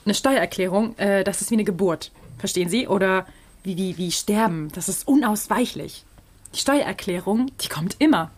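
Halting tax clerk played by an actress, husky voice. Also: female voice, medium pitch, natural voice. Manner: halting, hesitant. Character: helpful muddled tax office clerk.

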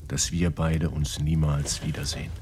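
A man's voice: very deep voice, little pitch movement, moderate pace, very noisy. A man playing a composed, observant guest in a German radio drama, narrating reflectively and matter-of-factly. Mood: reading aloud. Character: composed, observant male guest recounting his stay.

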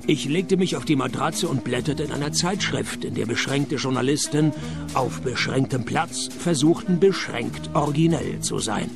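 Deadpan gravelly detective narrator, lively sardonic delivery, music underneath. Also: male voice, medium pitch, normal speed. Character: cynical male private detective, dry wit. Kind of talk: radio play narration.

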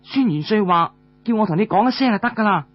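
A man voicing a bold, defiant young warrior woman in an audiobook, brisk and commanding. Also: high-pitched, animated, no echo, highs cut off, pitched above the performer's own voice.

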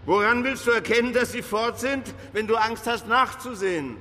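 Blustering male police chief, forceful bright tone, sarcastic, commanding, crime radio drama, light noise behind. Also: very high voice, normal speed, big-room sound. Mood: firm negotiating.

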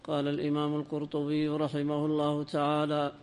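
A man reading a classical religious text aloud as a student in a lesson, in a formal, measured, half-chanted recitation. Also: on the high side, completely flat delivery, a little mellow, smooth. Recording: long hall-like reverb.

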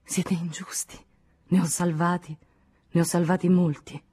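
A sick, cornered man voiced by a female audiobook reader, breathy and husky. He moans his excuses weakly, pleading and justifying himself. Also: fairly low pitch, flat delivery, measured pace, dark tone, voice pushed up. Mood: desperate, pleading. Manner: breathy, moaning, sobbing, weak. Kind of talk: dramatic audiobook character acting.

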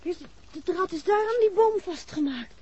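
High-voiced watchful wife, old radio. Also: female voice, animated, moderate pace, mellow. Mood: anxious, cautioning. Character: watchful, plucky wife of an amateur detective. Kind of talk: 1960s Dutch radio drama acting. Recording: dull 1960s radio recording, faint noise.

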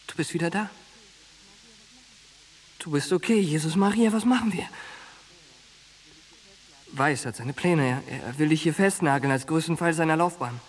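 Ein Schauspieler in einem Hörspiel spielt einen derben, eindringlichen jungen Soldaten und treuen Freund mit genervtem Temperament. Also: male voice, on the high side, normal speed, pauses often, natural voice. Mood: urgent, exasperated. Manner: blunt, coarse, insistent. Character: streetwise, exasperated young soldier, loyal friend. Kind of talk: naturalistic German radio drama acting.

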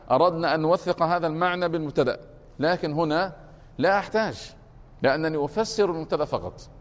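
A man teaching a grammar class, explaining slowly and repeating points, often stopping to ask whether it is clear. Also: fairly high pitch. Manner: deliberate, repeats points and keeps asking if it is clear. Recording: long reverb of a hall.

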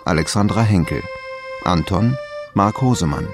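A man announcing radio play credits in a scripted, measured read. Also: sits low, lively intonation. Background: prominent guitar and accordion music.

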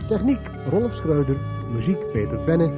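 Male announcer reading credits, lively intonation, clear music behind him. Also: fairly high pitch, normal speed.

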